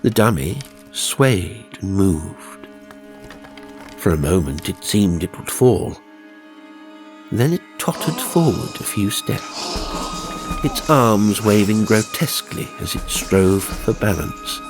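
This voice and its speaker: A man reading a horror audiobook as narrator, with deliberate, suspenseful, dramatic storytelling. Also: fairly low pitch, very animated. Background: music and sound effects.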